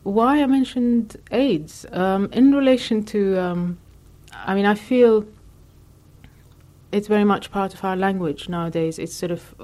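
A woman from Lebanon, an artist answering interview questions in a reflective way, thinking aloud with many pauses and fillers. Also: mid-range voice, dark tone.

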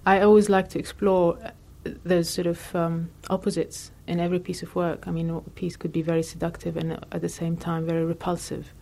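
A woman artist from Lebanon with a somewhat low voice answers interview questions reflectively. She thinks aloud, with frequent pauses and fillers between her ideas. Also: flat delivery, gravelly, mellow.

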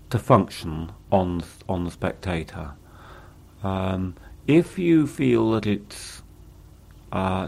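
A male interviewer with a low, gravelly voice asks long questions. He talks in a measured, hesitant way, with a rough, creaky edge throughout. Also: darkish tone.

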